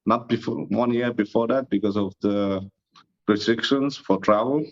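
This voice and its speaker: A man's voice: lowish voice, moderate pace. A man with an Estonian accent, answering interview questions in an easygoing, modest way. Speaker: guest, disc golf YouTuber. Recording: muffled lo-fi audio.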